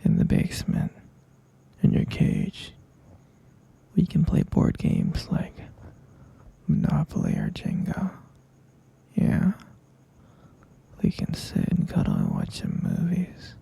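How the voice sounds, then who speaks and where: An obsessive yandere boyfriend, acted by a man for roleplay ASMR, speaking slowly in a very dark, mellow half-whisper. His coaxing, possessive words come out breathy and heavy with creak. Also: fairly high pitch, huge pitch swings, exaggerated voice. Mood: soothing, obsessive. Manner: slow, cooing, coaxing pet names.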